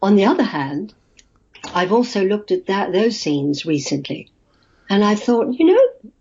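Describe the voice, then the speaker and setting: A woman's voice: mid-range voice, big pitch swings, a little slow, mellow, husky. An older woman from Australia, now, a guest actress telling stories in a chatty way and laughing easily. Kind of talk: casual interview chat. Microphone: video call. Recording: muffled video call audio.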